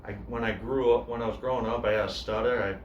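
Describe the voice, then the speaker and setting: Guy acting as a sad quiet cook confessing stuff in a monologue, hesitant, roomy echo. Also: medium pitch, steady tone, fast talker, distant mic, highs cut off, close to an everyday voice. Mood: hurt, confessional. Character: grieving male cook, subdued, haunted by his brother. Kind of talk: dramatic monologue, naturalistic screen-style acting. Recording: echoey room, faint noise.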